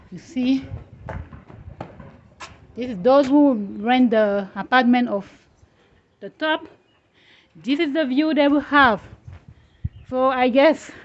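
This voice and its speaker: A woman vlogger, speaking English as a second language, hosting a casual walking tour of a house under construction, with halting phrasing and restarts. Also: sits high, highs cut off. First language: not English. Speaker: travel vlogger, host.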